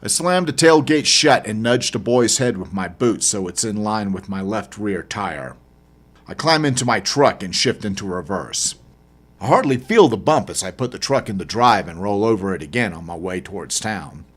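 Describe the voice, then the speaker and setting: A man reads his own fiction as an audiobook narrator. His voice sits a little low. The delivery is dramatic, with lively, wide-ranging intonation in a first-person telling. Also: moderate pace. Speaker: author reading own novel as narrator.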